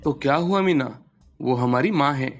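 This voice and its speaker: A simple, good-natured husband in a cartoon moral tale, played by a man. His mid-pitched voice is mild and placating, over faint background music. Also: moderate pace, natural voice. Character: simple, meek, good-natured young husband and papad seller. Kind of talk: animated moral story dialogue.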